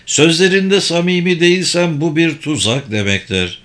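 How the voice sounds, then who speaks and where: A man's voice: fairly high pitch, big pitch swings, normal speed, a bit bright, voice pushed up. A man voicing a sardonic, distrustful young thief in an audiobook, with a sceptical, cutting delivery. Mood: distrustful, defiant.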